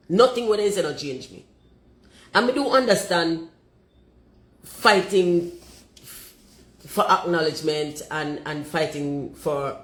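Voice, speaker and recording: Deep-voiced Jamaican woman, forthright. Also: fairly bright tone, roomy sound. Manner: forthright, emphatic, slips into patois. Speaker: commentator.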